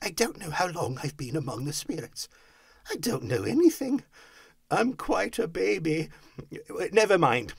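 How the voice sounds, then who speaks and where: A man's voice: on the high side, wildly swooping pitch, normal speed, hardly any pauses, breathy edge, no echo, clean studio sound, pitched above the performer's own voice, exaggerated voice. A man voicing an overjoyed, reformed old miser from a storybook, exclamatory and effusive, whooping with delight. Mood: giddy, carefree.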